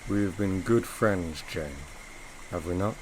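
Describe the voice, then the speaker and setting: Guy acting as brooding teasing gentleman in a gothic audio drama, kinda low voice, formal old-time talk, some background noise. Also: measured pace, poor audio. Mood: tender, melancholy. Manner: formal, teasing, theatrical phrasing. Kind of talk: audio drama acting, period literary dialogue.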